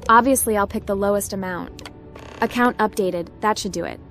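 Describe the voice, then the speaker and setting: A woman's voice: medium pitch, moderate pace, a bit bright, close to an everyday voice. A woman voicing a confident young female hacker and adventurer in a sci-fi roleplay game, with a breezy, self-assured manner. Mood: purposeful. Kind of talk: roleplay game protagonist dialogue and musings. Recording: game music over the voice.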